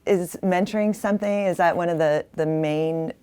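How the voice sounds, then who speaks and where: A woman with a voice a little on the low side works as an interviewer. She puts her questions in a casual, conversational way. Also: normal speed, warm tone, clean tone.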